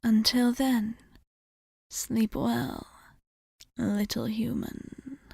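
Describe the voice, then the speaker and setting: A woman performs a composed vampire bartender in an intimate ASMR roleplay, tending to the listener with formal, faintly possessive care. Her voice is soft, mellow and somewhat high, often slipping into a breathy whisper. The sound is very dry and close, with gentle commands given in a measured way. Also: fairly even intonation, no echo, full character voice. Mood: soothing, tender.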